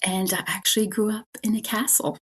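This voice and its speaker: A middle-aged woman with a German accent, a spiritual director gently and earnestly telling her own story as a podcast guest. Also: mid-range voice, little pitch movement, brisk, husky. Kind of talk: reflective personal storytelling.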